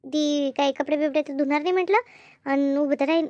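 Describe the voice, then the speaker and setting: A woman's voice: high-pitched, fairly even intonation, a little fast, darkish tone, no echo, highs cut off, lightly acted. A woman playing a busy, talkative village housewife in a comedy cartoon, chatting colloquially with a rural Maharashtrian accent. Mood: conceding. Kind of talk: animated comedy cartoon dialogue.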